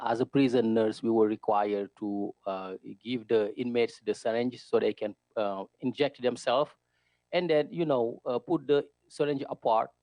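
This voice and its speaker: Senegalese-accented man, gravelly, dry close sound, earnest and halting non-native English. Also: on the low side, darkish tone, no echo, poor audio. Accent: Senegalese French. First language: Wolof. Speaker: guest, prison nurse. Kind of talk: interview answers.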